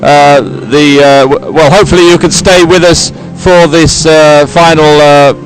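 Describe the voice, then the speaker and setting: A man presenting a live sports broadcast, ad-libbing commentary in a halting, hesitant way. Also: a little high, steady tone, faint music behind, poor audio. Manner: hesitant, halting ad-libbed delivery. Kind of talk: live unscripted event commentary.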